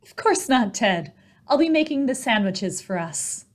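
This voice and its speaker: Radio mystery wife, female actor: worried, evasive, fairly high voice with lively intonation. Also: unhurried. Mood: polite. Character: worried, evasive young wife pleading with her husband. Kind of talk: old-time radio mystery acting.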